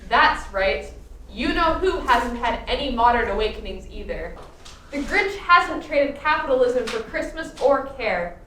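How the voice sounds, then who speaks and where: Female narrator, scripted radio drama: emphatic, sardonic, high register, distant microphone with faint noise behind. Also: animated, a little slow, bright, forceful tone. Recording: echoey room, distant microphone, background noise.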